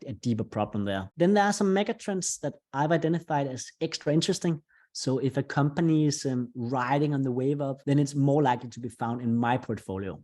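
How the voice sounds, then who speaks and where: A man's voice: medium pitch, a little mellow. A man presenting an investor webinar, explaining step by step in a conversational way.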